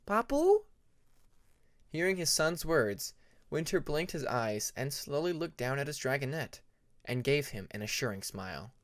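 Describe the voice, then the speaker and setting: A man narrating a fantasy story as an audiobook, with expressive scripted delivery. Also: mid-range voice, normal speed, no echo, studio quality. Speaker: audiobook narrator of fan fiction.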